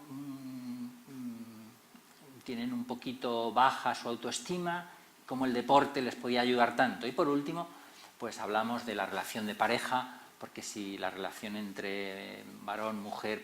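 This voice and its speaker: A middle-aged man with a Madrid Spanish accent giving an expressive public lecture, stressing key words as series moderator. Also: medium pitch, a little hiss or hum. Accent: Madrid Spanish, not very Canarian. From Tenerife, Canary Islands. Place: lecture hall. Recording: roomy echoey hall.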